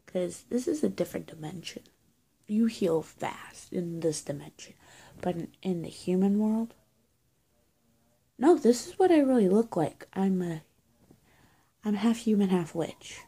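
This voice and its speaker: A young woman improvising a witch roleplay to camera in a halting, confiding, breathy voice that often drops toward a whisper. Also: medium pitch, lively intonation, warm tone. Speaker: roleplay video creator. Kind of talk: improvised roleplay to camera, playing a witch caring for someone hurt. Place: small indoor room with bare walls. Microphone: phone or webcam at arm's length.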